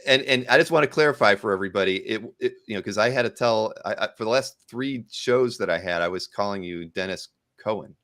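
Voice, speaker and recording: A man from the American Midwest hosts a live stream in a slightly low voice. His delivery is halting, full of fillers and restarts, and the recording sounds very dry and close. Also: a bit bright, no echo. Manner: halting, with many fillers and repeated words. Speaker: host. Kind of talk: casual live-stream hosting.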